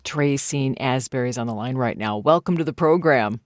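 A woman's voice: rumbling low, a little fast, no echo, soft background music. American woman hosting radio, dry studio sound. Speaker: radio host interviewing a guest. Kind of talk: broadcast interview.

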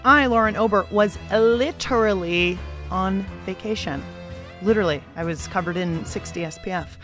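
A woman's voice: medium pitch, normal speed. Wry American woman hosting over music. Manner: wry, chatty, jokey. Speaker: radio show and podcast host. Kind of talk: playful conversational scripted narration.

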